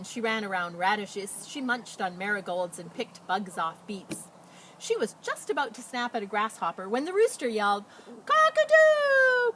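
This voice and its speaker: A woman speaking as a school storyteller outdoors, explaining and reading in a simple, animated way for children. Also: mid-range voice. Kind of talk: casual introduction and expressive children's read-aloud. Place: outdoors beside a chicken coop. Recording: noisy outdoor recording with animal sounds.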